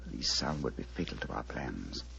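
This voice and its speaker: An actor playing a commanding, razor-sharp detective with a British accent and precise theatrical diction, in a 1940s radio drama. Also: male voice, very deep voice, animated, normal speed, vocal fry, heavily acted. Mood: hushed, tense. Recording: dull, noisy 1940s radio recording.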